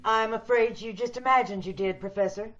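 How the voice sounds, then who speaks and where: A dull, muffled recording of a woman acting a sinister radio-horror villainess. She speaks in a fairly high voice, measured and coolly polite, with menace beneath every courtesy as she schemes for beauty. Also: background noise, natural voice. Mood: smooth, scheming. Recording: dull video-call radio play recording.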